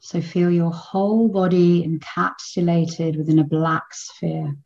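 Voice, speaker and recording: Slow, mellow woman guiding meditation. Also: fairly low pitch, flat delivery, creaky, dull audio. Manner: soothing, unhurried, with long pauses. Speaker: meditation guide. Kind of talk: guided meditation.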